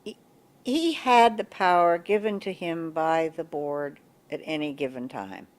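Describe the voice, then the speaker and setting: A woman's voice: low voice, unhurried. Older woman with a big voice, reminiscing. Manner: reflective, searching for words with many restarts. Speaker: oral history interviewee. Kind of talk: oral history interview. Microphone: table mic in front of a coffee pot.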